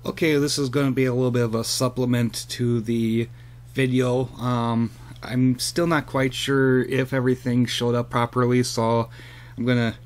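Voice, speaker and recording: A man casually showing off his radio antenna setup, rambling with lots of fillers, filmed on a handheld camera outdoors and then at a computer. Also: medium pitch. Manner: rambling, hesitant with many fillers. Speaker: hobbyist vlogger. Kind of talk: casual show-and-tell.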